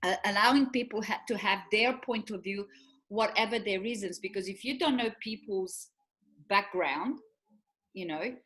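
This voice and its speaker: A woman's voice: medium pitch, normal speed, a bit bright, poor audio. A middle-aged woman from Romania with a Romanian accent, co-hosting a casual chat and telling stories with frequent laughs. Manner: chatty storyteller, laughs often. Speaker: co-host.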